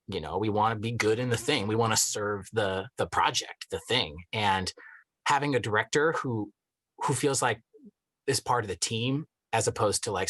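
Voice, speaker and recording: A man with a fairly low voice talks as an actor in an interview. His delivery is conversational and thoughtful, leaning on key words for emphasis. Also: a bit bright, breathy edge. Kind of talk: casual interview testimonial.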